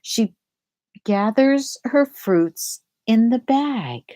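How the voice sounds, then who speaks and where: A woman reading a children's picture book aloud in a deliberate way, pausing to explain things to young listeners. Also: a little high, animated, dark tone. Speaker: storybook reader.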